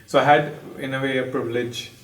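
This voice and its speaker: Distant man with a flat, even voice. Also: medium pitch, brisk. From Pakistan. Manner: hesitant, many fillers and restarts. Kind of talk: reflective personal storytelling. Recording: echoey room, distant mic.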